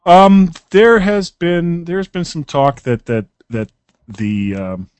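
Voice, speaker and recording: A man's voice: a little high, slow, darkish tone, smooth, no echo. Easygoing man on a podcast. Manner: easygoing, joking, hesitant with restarts. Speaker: podcast co-host. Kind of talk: casual tech podcast chat.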